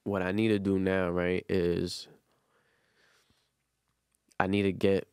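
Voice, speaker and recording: A young man, loose and rambling, full of slang. His voice sits low with a smooth, resonant tone. The sound is close and dry. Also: little pitch movement, takes it slowly, no echo. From Brooklyn, New York. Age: 20s. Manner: loose, rambling, full of "you know what I'm saying" and slang. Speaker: guest, rapper. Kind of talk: casual interview chat.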